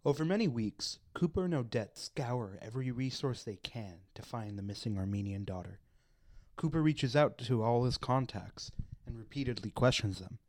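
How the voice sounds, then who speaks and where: A man's voice: mid-range voice, animated, moderate pace, darkish tone, no echo. A man reading scripted narration for a radio play.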